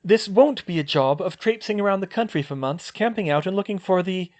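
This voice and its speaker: This is a man reading a clever, determined teenage witch, decisive and organized. The voice sits high for a man and moves lively up and down. She sounds fast and sure of her plans. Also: highs cut off. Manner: brisk and decisive. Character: sharp, determined teen witch, organized planner.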